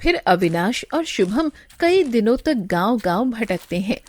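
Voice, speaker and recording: A woman's voice: on the high side, very animated, unhurried. A woman narrating a scripted moral story as its storyteller.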